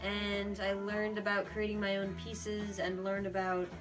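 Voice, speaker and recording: A woman's voice: mid-range voice, monotone, a little slow. A young adult woman speaking as an interview guest, explaining her art in an earnest, reflective, casual way. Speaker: guest, puppeteer and theater performer. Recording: music playing behind the talk. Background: guitar music.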